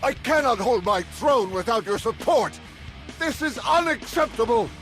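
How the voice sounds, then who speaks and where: A man playing an entitled, cowardly king in a video game, pleading in shrill, panicked indignation. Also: expressive, normal speed. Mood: indignant panic. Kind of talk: video game character acting. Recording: loud music behind. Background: game soundtrack music.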